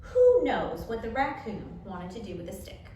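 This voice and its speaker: A woman reading a picture book to children as a story time host, expressive, with dramatic emphasis and pauses. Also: mid-range voice. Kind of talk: animated picture-book read-aloud for children. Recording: echoey room, distant mic, light noise.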